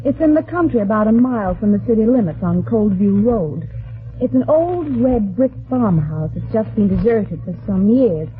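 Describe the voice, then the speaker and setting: Actress as a lilting helpful secretary, tinny sound. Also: female voice, medium pitch, expressive, moderate pace, close to an everyday voice. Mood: helpful, informative. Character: helpful, forthcoming woman secretary. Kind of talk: old-time radio drama acting. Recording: tinny band-limited old radio recording.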